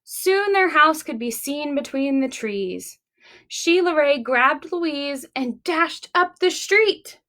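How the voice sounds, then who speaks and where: A woman reading and talking to young children as a library storytime host, expressive and deliberate. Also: high-pitched.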